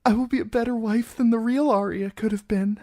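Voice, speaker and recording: A woman voicing a frightened young bride who pleads in a high, trembling, breathy voice. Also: steady tone, fairly quick, a little mellow, close to an everyday voice. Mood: anxious.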